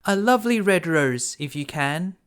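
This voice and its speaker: A loving, cheerful young daughter from a storybook, as a man reads her aloud. The voice is raised high with a lilting swing. She speaks gently and affectionately. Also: animated, normal speed, clear, resonant tone. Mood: loving, hopeful. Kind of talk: children's fairy tale storybook reading.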